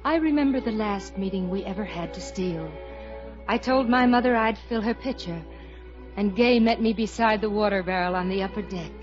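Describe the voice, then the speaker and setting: An actress playing a romantic young showboat leading lady in a 1950s radio musical, measured and wistful. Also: medium pitch, close to an everyday voice. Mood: wistful, dreamy. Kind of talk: 1950s radio musical drama narration and acting. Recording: muffled old radio broadcast with music.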